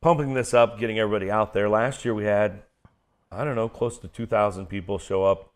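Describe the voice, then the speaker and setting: A middle-aged American man with a booming baritone, chatting casually as an interview guest. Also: steady tone, fairly quick. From Kansas City area, United States. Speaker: guest, event MC and voiceover artist. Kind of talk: casual interview chat with stories.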